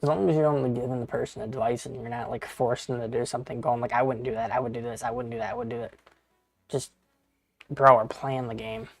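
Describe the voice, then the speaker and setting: A man's voice: mid-range voice, expressive, quick pace, gravelly, soft background music. A man in his thirties chatting casually with viewers while playing a game on a live stream, rambling and swearing, often calling people bro and dude. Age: 30s.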